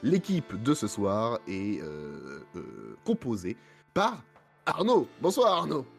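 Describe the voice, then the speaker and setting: A man with a head cold and a hoarse voice, hosting a casual podcast chat with many fillers and self-mocking asides. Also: medium pitch, wildly swooping pitch, takes it slowly, faint music behind. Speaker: podcast host.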